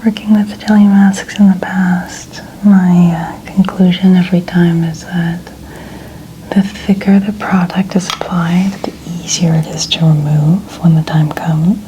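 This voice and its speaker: A woman whispering softly and breathily as she gently narrates an ASMR skincare routine step by step. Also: lowish voice, level tone, a little slow. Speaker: ASMR creator. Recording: clearly noisy ambient background.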